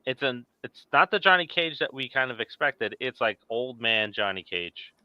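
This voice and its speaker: A man with a smooth, clear, medium-pitched voice hosts a casual podcast. The recording is dry and a little lo-fi. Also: expressive, moderate pace, no echo. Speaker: main host of a movie podcast. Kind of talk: casual live podcast hosting.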